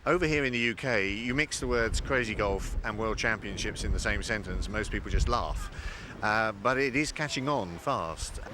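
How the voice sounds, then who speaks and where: A man's voice: on the low side, normal speed. Male interviewee in outdoor noise. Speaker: interviewee at a minigolf event. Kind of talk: unscripted interview.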